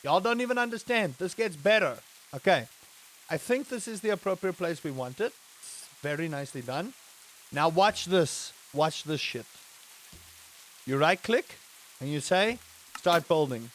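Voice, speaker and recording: A man from England live-streaming a game, chatting casually with his viewers and breaking into excited exclamations. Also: on the high side, measured pace, a little hiss or hum. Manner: animated, rising to excited exclamations; chatty, addresses chat directly. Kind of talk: live-streamed gameplay chat.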